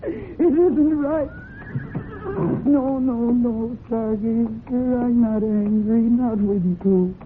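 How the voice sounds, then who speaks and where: A woman's voice: on the high side, drawn-out words. An actress playing a distraught, fiercely protective horsewoman, with a fraught, heightened delivery in old-time radio drama style. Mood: anguished, horrified. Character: distraught, fiercely protective horsewoman narrating her own crime story. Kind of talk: dramatic old-time radio acting with first-person narration. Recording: muffled phone-like old radio recording with hiss.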